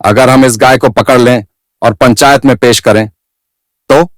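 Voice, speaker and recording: A man's voice: mid-range voice, fairly even intonation, a little slow, dry recording, studio quality, close to an everyday voice. A man playing an earnest, observant young villager in a cartoon story, reasoning as a loyal helper. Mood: concerned. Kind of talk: Hindi animated moral story dialogue.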